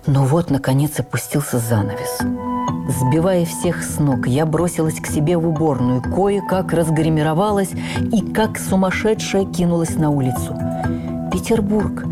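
A woman reading a first-person memoir as a radio drama narrator, with expressive, measured storytelling. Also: deep voice, over loud music. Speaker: narrator, actress.

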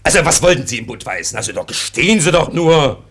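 An actor playing a self-important, sly police sergeant in a German radio play, officious and wheedling, with a husky, gravelly voice. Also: male voice, medium pitch, sing-song, fast, a bit bright. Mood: insistent, wheedling.

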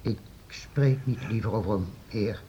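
An actor playing a timid, deferential castle servant in a period radio play, hesitant, evasive and stammering. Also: male voice, lowish voice, a little slow, warm tone, lightly acted. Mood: wary. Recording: dull, noisy old radio recording.

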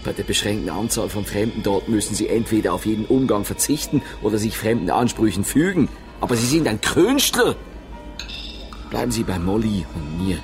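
An actor playing a worldly, carefree painter on a world voyage, urbane and bantering in a radio drama. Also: male voice, on the low side, animated, brisk, darkish tone. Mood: coaxing, enthusiastic. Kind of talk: German radio drama dialogue. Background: music.

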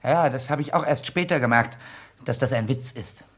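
A man's voice: medium pitch, moderate pace, heavy background noise, close to an everyday voice. An actor playing a cheeky male grammar-school pupil in a comedic radio play, hesitant and mock-polite as he feigns innocence. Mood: hesitant storytelling.

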